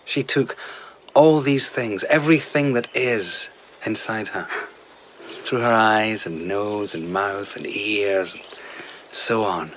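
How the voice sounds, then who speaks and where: A man's voice: on the low side, slow, a bit bright, a little hiss or hum. A man in a radio drama playing a dreamy, hard-drinking vagabond who tells lyrical, rambling tales as a royal favourite.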